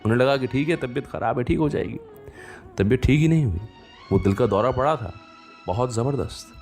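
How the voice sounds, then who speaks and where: A man hosting a radio show, telling stories conversationally with unhurried pauses and fillers. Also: medium pitch, lively intonation, a little mellow, soft background music. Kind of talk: conversational storytelling radio presenting.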